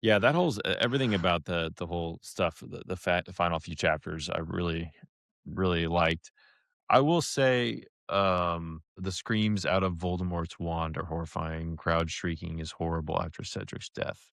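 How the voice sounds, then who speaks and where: A man's voice: low-pitched, moderate pace, vocal fry, a bit bright, no echo, clean studio sound. A man co-hosting a podcast, giving his review loosely and casually, feeling around for descriptive words. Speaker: podcast co-host.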